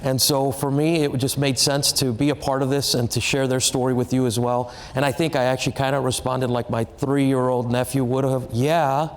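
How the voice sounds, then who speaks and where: A man moderating a live panel on stage, talking in a relaxed, halting way with fillers and restarts. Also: mid-range voice. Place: event stage in a large hall. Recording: reverberant hall with faint noise.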